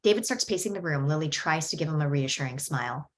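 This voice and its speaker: Low-voiced woman reading stage directions. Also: quick pace. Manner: steady, descriptive. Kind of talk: table read of a screenplay.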